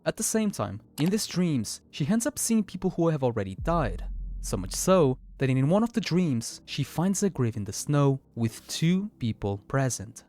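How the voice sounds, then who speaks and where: A man's voice: a little high, very animated, normal speed, darkish tone. A man giving scripted, explanatory narration in the style of a video essay.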